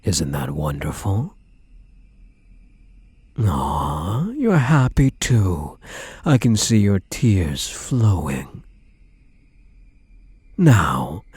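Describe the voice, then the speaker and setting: A man playing a possessive, obsessively doting kidnapper in an intimate ASMR roleplay, hushed and menacingly tender, often slipping into whispers. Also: low voice, breathy edge, no echo, exaggerated voice. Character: possessive male yandere kidnapper, obsessively doting on captive spouse.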